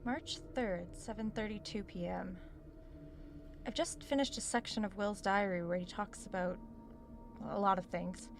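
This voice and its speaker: A mid-pitched female voice plays a worn-out writer unsettled by a haunted house. She is naturalistic, hesitant and guarded, as in an audio horror drama. Soft music sits faintly behind her. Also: unhurried, gravelly. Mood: pensive, uneasy. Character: weary, uneasy woman author alone in haunted house.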